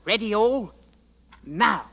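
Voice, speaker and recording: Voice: mid-range voice, animated, slow, gravelly. An actor playing a cheeky, bossy British army boy with a Cockney accent in an old radio drama. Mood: cocky. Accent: Cockney English. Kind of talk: 1940s radio drama acting. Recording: dull, noisy vintage radio recording.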